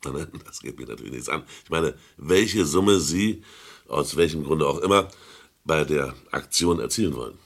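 A very deep, husky, tactful appraiser. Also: male voice, full character voice. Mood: polite, knowing. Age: middle-aged or older. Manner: deliberate, polite, soothing. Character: seasoned, discreet male art appraiser, measured and tactful. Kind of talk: German crime radio play acting.

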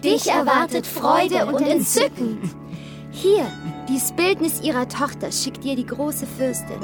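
A woman's voice: high voice, expressive, a little slow. An actress playing a commanding lady attendant of a queen in a radio play, with a formal, haughty, declaiming manner. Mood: solemn. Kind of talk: theatrical German radio play acting. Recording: radio drama with music underneath.

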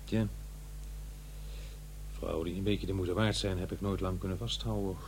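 A man's voice: sits low, steady tone, normal speed, background noise. An actor playing an awkward, defensive divorced father in middle age in a radio play, with a hesitant delivery. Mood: awkward, wistful. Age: middle-aged. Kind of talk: radio play acting, naturalistic dialogue and narration.

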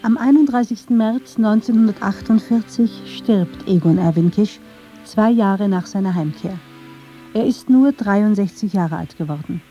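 A woman narrating a scripted documentary in a measured, factual manner. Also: mid-range voice, warm tone, dull audio, soft background music.

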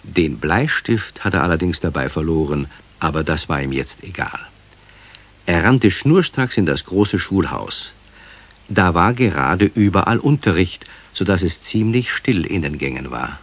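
A man's voice: deep voice, animated, measured pace, heavily acted. A man acting as narrator of a children's radio play, telling the story in a scripted storytelling style.

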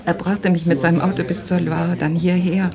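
An actress playing a German refugee woman who searches for her husband, speaking in a weary, halting way that sometimes sinks to a whisper in a radio drama. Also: lowish voice, level tone, crowd noise, natural voice.

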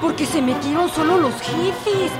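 High-voiced townswoman. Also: a little slow. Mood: chatty. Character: gossipy Mexican townswoman recounting what she saw. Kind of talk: radio drama acting. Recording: loud crowd and music behind.

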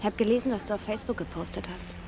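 A woman's voice: mid-range voice, very fast, gravelly, a little mellow, slight background noise, close to an everyday voice. An actress playing a teasing, bold young woman in a radio drama, coaxing and playful.

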